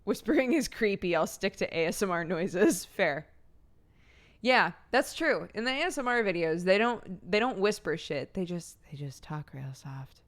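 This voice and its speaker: A woman's voice: lowish voice, lively intonation, moderate pace. A woman streamer with a slightly phlegmy throat, chatting casually, laughing often and thanking subscribers one by one. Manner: giggly, laughs often between lines.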